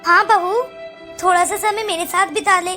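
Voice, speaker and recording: Casting: woman as neglected elderly mother for an animated moral tale, plaintive delivery, extremely high and bright voice. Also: moderate pace, soft background music. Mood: pleading. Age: older. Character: frail, pleading, neglected elderly mother-in-law in a cartoon. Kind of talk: Hindi cartoon moral-story acting.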